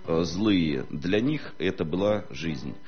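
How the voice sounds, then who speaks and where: A man speaking as a historian guest on a studio talk show, lecturing in a measured way with frequent hesitations. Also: low-pitched, animated, muffled recording, soft background music.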